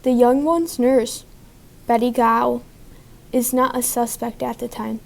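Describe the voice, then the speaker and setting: A woman's voice: fairly high pitch, steady tone, slow, gravelly. A woman reading a scripted breaking news report in a period style, stumbling slightly as an amateur reporter. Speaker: breaking news reporter in a student history project.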